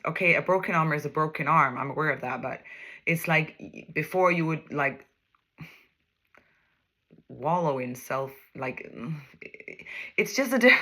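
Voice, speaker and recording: A woman's voice: sits low, sharp tone. A woman with a Danish accent, a native Danish speaker, giving a casual tarot reading in English. She rambles, searches for words and keeps checking in with "okay?". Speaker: tarot reader.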